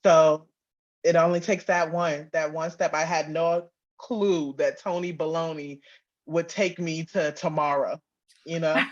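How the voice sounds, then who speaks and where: A woman's voice: low voice, fairly even intonation, measured pace. A woman giving a casual, informal interview, thinking aloud and repeating phrases as she goes. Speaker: interview guest, audio drama writer. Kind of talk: casual chat. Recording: slightly dull, lo-fi audio.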